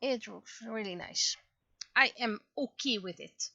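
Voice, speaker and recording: Woman, casual streamer, bright voice, noticeable vocal fry, halting self-talk, dry close sound, lo-fi recording. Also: fairly high pitch, no room sound. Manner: muttering to self, halting, thinking aloud. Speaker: livestreamer, webcomic artist. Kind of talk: casual livestream chat.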